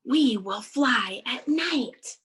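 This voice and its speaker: A timid young bat girl from a children's storybook, acted out by a woman. The voice is very high and squeaky, swinging earnestly up and down with breathy bursts of excitement. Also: slow pace, heavily acted. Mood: excited.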